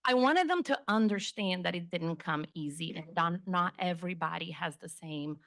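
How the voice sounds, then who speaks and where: Chatty middle-aged Brazilian woman, slightly low voice. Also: expressive, normal speed, fairly bright tone. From Rio de Janeiro, Brazil. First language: Portuguese. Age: 50s. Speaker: guest speaker, community relations executive. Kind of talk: informal self-introduction talk to an audience.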